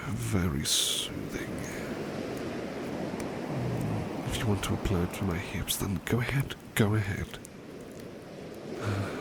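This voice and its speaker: Man playing a deep, gentle, devoted butler. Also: creaky, heavy background noise, exaggerated voice. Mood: relaxed, blissful. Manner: gentle, soothing, courteous, murmured repetitions, often dropping to whispers. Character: devoted, tender, polite male butler servant. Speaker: ASMR roleplay performer. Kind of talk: soft-spoken ASMR personal attention roleplay.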